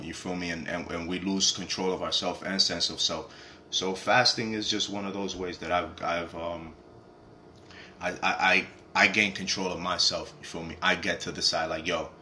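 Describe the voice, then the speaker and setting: A young man with a raspy voice, rambling in a casual motivational talk to camera, often asking "you feel me". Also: low voice, sharp tone, a little hiss or hum. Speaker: vlogger.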